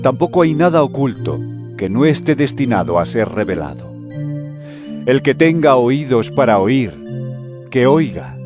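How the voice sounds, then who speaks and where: A man's voice: mid-range voice, sing-song. Solemn male preacher figure over music. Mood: solemn teaching. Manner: solemn, deliberate, declamatory. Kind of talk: dramatized audio Bible acting. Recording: music under the voice.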